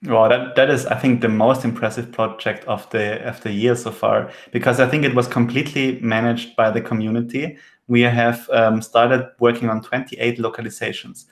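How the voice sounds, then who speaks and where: Man, German native speaker, even and monotone, roomy echo, casual panel talk. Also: mid-range voice, normal speed. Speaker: podcast panelist. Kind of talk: casual tech discussion.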